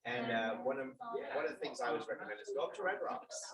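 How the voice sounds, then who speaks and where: A man's voice: mid-range voice, fast. A man moderating an event, chatting upbeat with a student team before introducing them. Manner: animated, upbeat, recommending spots. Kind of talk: casual chat and event hosting. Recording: crowd chatter behind.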